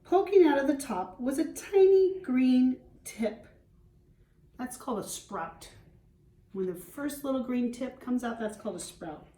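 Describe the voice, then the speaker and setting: A woman with a somewhat high voice reads a picture book aloud as a teacher would. She goes very slowly, explains in simple terms and pauses for questions. The sound is roomy and echoing, picked up at a distance from the microphone. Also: lively intonation, mellow. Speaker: schoolteacher reading to class. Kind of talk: children's storybook read-aloud with questions.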